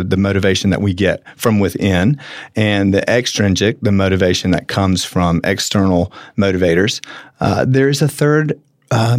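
A middle-aged man co-hosts a studio talk show in a fairly low voice. He speaks earnestly and laughs easily, often stopping to restart his sentences. The sound is close and dry. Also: no echo. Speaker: co-host. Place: radio studio.

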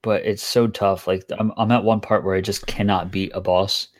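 A man's voice: lowish voice, moderate pace, no echo, studio quality. A man co-hosting a gaming podcast, chatting casually and hesitantly with frequent fillers. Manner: relaxed, hesitant, lots of ums. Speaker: podcast co-host.

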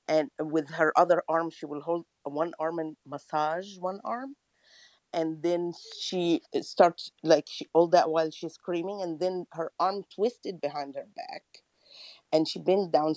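Slow low-voiced woman. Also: dry recording. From Egypt. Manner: halting, many fillers and restarts. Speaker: caller. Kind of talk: personal testimony in a call-in chat.

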